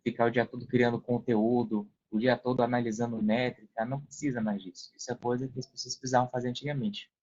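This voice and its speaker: A man's voice: medium pitch, steady tone, fast, few pauses, darkish tone. A Brazilian man explaining things casually as a podcast guest, repeating phrases for emphasis. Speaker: podcast guest, affiliate seller. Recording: dull, band-limited sound.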